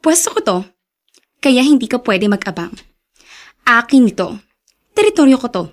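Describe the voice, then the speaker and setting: An actress playing a brash, territorial streetwalker, sassy, taunting and flirtatious, in a Tagalog radio drama. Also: female voice, fairly high pitch, sing-song, moderate pace. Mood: mocking, territorial.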